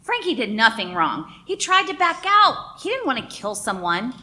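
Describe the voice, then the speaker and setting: A woman's voice: high voice, sing-song, moderate pace, bright, forceful tone, full character voice. A woman playing an impassioned woman who pleads emphatically in a radio play. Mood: pleading.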